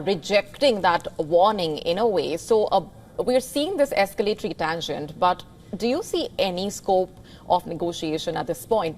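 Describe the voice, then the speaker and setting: A woman anchoring live news, brisk, slipping into fillers when she ad-libs her questions. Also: fairly low pitch.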